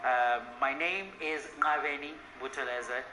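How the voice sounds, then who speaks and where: A man from Zimbabwe with a Zimbabwean accent, a menswear designer, introducing himself in a relaxed, chatty way. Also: medium pitch, unhurried, echoey room, slight background noise, rough recording. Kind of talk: interview self-introduction.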